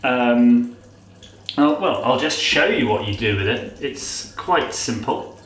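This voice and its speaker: A man's voice: mid-range voice, animated, slow pace, a bit bright. A man in his thirties with a nasal voice, chatting through a casual how-to demo in a small tiled bathroom with a camera close in front, tap water running behind. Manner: chatty, self-mocking, rambling asides. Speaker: vlogger. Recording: echoey bathroom.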